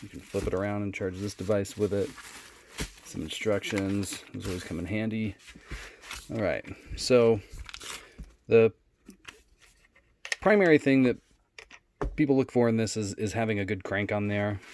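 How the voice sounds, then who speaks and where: A man giving a casual, unscripted product demonstration in a low-key, halting way. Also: darkish tone, slight background noise. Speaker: product reviewer.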